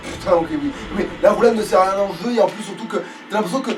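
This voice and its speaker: A man's voice: sits high, rapid-fire, recorded from a distance. A man giving casual, sweary and exasperated commentary while he plays a video game. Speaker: let's player playing the game. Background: video game music.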